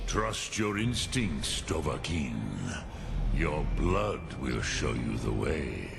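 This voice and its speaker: An actor voicing an ancient, wise male dragon in a fantasy video game, with a ponderous, solemn, musing manner and a gravelly growl. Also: a bass voice, very animated, very slow, exaggerated voice. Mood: calm, counselling. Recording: loud music under the voice. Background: prominent game music.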